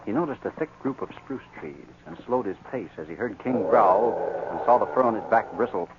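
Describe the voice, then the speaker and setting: A man narrating a scripted 1940s radio adventure in a measured storytelling manner. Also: fairly low pitch, expressive, natural voice. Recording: muffled, noisy 1940s radio recording.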